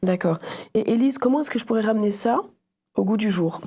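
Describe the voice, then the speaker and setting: A woman's voice: mid-range voice, a little fast, dark tone, breathy edge. A woman moderating a casual religious discussion, summing up what others say and putting questions to them.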